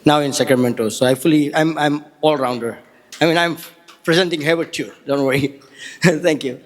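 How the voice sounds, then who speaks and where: A man's voice: fairly high pitch, normal speed. A man from Fiji introducing himself as a contestant, in a casual, rambling way. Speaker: singing contestant.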